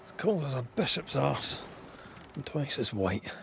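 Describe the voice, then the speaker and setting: An actor playing a blunt, grumbling ghost hunter with a Scottish accent and an earthy, wry manner. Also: male voice, mid-range voice, sing-song, unhurried, gravelly, a little hiss or hum.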